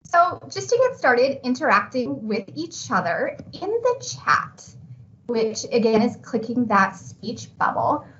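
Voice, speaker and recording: A woman's voice: high voice, fairly bright tone. A woman teacher presenting a webinar in a conversational, encouraging and reassuring way. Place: remote online video call. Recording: dull online webinar audio with background noise.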